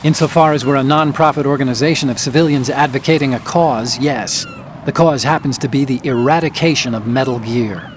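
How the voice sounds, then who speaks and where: A man's voice: mid-range voice, a little fast, a little hiss or hum, natural voice. Man acting an earnest, brainy activist. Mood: explanatory. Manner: articulate, explanatory. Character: earnest, brainy male activist, calm idealist. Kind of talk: dramatic video game acting.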